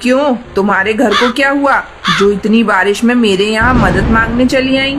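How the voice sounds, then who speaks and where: A woman's voice: a little high, normal speed, background noise, close to an everyday voice. A woman voicing a selfish, cold aunt bird, curt and dismissive, in a children's cartoon story.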